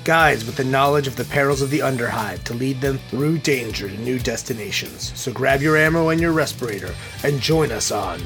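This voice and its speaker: A man with a gravelly, fry-laden voice delivers a scripted intro. Guitar music plays clearly behind him. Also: mid-range voice, brisk, few pauses.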